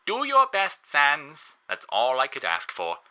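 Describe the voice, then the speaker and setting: A man voicing a pompous, excitable skeleton brother from an audio story, earnest and grandiose with formal phrasing. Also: a little high, measured pace, voice pushed up, full character voice.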